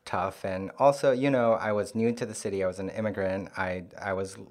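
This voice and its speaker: Soft-spoken hesitant man from Chile. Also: deep voice, steady tone, brisk. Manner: soft, hesitant, many ums. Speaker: guest playwright. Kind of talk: casual conversation.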